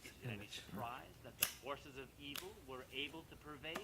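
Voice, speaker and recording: An actor playing a folksy, theatrical male televangelist, preaching doom with drawn-out emphasis over noisy television sound. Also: medium pitch, natural voice. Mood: rhetorical, ominous. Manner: rhetorical questions, drawn-out emphasis. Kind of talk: theatrical TV sermon.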